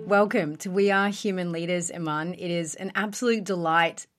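A woman co-host opening an interview in a polite, softly spoken way. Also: on the low side, steady tone, moderate pace, faint music behind. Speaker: podcast co-host.

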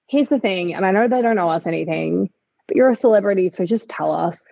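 A woman with an Australian accent co-hosting a casual pop culture chat in a chatty, expressive, informal way, with a husky, creaky voice. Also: mid-range voice, brisk, dry recording, muffled recording. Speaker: podcast co-host.

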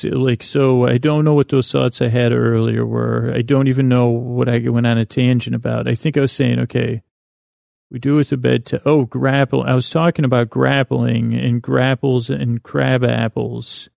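A man with a creaky voice hosting a sleep podcast, delivering a meandering, halting bedtime monologue with whimsical, self-deprecating digressions. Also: mid-range voice, little pitch movement, a little mellow, no echo, clean studio sound. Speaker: podcast host. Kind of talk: rambling bedtime monologue to help listeners sleep.

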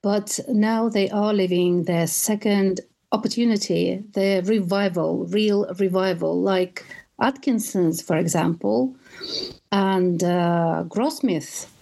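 A woman's voice: medium pitch, slow, creaky, warm tone. A woman from Russia with a Russian accent, talking as a podcast guest about her perfume tours, telling stories with enthusiasm and an occasional restart. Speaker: guest, perfume tour guide. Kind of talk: conversational interview.

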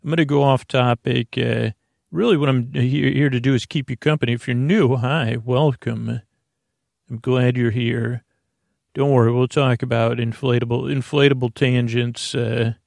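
A man hosting a bedtime podcast in a slow, creaky voice, wandering through gentle tangents and restarting his sentences. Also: medium pitch, no echo, clean studio sound. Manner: slow, meandering, self-interrupting, gently joking. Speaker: sleep podcast host. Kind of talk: rambling bedtime monologue.

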